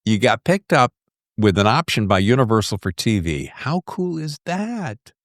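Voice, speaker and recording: A man's voice: mid-range voice, sing-song, normal speed, no room sound, clean studio sound. A man hosting a podcast, chatting casually in a chummy, effusive, emphatic way with plenty of slang. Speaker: podcast host. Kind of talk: casual interview chat, addressing guest and listeners.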